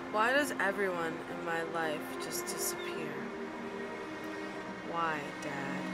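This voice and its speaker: A woman playing a practical, wry young lighthouse keeper and inventor, narrating and speaking in an audio drama in a conversational way. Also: fairly low pitch. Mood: grieving.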